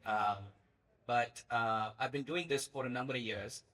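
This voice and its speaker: A man from Pakistan, a fund founder, explaining the panel to the audience in a relaxed way. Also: mid-range voice, a little slow.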